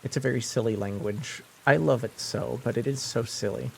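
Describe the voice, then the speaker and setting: A man's voice: medium pitch, normal speed, creaky, slight background noise. A young man whose first language is French, chatting casually on a live stream, rambling with lots of fillers and restarts. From French-speaking.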